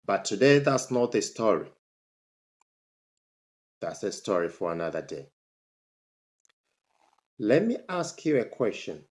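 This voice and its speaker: A man's voice: sing-song, slow, long pauses. A man, a motivational speaker telling his life story to camera, emphatic and rising sharply on his questions. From Zambia. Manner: emphatic, pitch jumps up on rhetorical questions.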